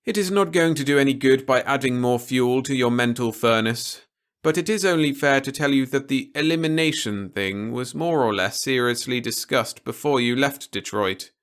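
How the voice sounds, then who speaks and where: A man performs a stern, articulate official from an audiobook story. The voice is lifted well above a middle register, with deliberate, emphatic and formal delivery, like a lecture to someone stubborn. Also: medium pitch, a bit bright, clear, resonant tone, pitched above the performer's own voice, natural voice. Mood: stern, warning. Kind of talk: audiobook character dialogue.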